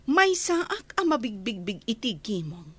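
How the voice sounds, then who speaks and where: A haughty, scornful rich wife, voiced by a woman in a village radio drama. She speaks slowly and questions her servants with cold disdain. Her pitch swings widely and sits above the usual for women. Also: very animated, fairly bright tone, breathy edge, dry recording, heavily acted. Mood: bitter. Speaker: wealthy lady of the house.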